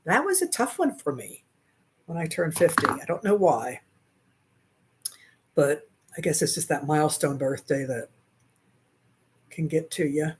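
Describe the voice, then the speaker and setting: A woman's voice: sits low, big pitch swings, measured pace, pauses often, a little hiss or hum. Chatty woman in her sixties on webcam. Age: 60s. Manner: chatty, reads and answers viewer comments; laughs easily. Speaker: crochet livestream host. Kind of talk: casual chat while crocheting. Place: small bedroom at home, indoors. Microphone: webcam at desk.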